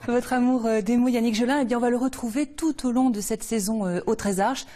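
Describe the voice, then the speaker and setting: A woman, presenting a regional TV culture segment, lively, with some hesitant fillers. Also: on the high side, fairly quick.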